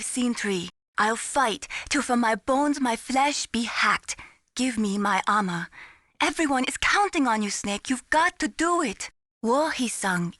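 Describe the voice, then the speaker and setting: An actress playing an earnest, gently teasing young female radar technician in a video game. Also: on the high side, dry recording, clean studio sound. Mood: urgent encouraging, then solemn, reflective. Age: young adult. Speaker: mission support operator. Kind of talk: scripted video game voice acting.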